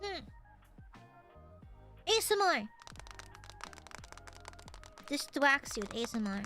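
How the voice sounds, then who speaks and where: A woman's voice: sits high, sing-song, slow, pushed and bright, soft background music. A woman streamer with a nasal voice, chatting casually with her viewers, rambling, giggling and swearing freely.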